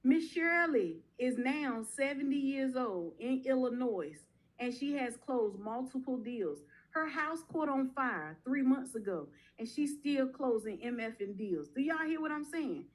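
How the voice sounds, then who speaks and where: Punchy woman coach pitching her program. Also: animated, measured pace, poor audio. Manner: direct, punchy, says "y'all". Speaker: guest speaker, mobile home investing coach. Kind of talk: casual sales pitch.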